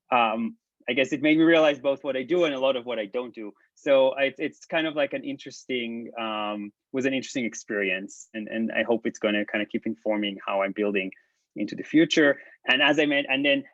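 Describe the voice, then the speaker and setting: A man from Israel with an Israeli accent, giving a hesitant, halting talk full of restarts on a video call. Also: mid-range voice, no echo. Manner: halting, many restarts and ums. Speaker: presenter. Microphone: laptop mic on video call. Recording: dull lo-fi video call audio.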